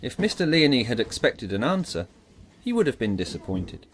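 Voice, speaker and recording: A man's voice: medium pitch, very animated, normal speed, slight background noise. A man narrating a scripted audiobook drama in a theatrical, emphatic storytelling manner.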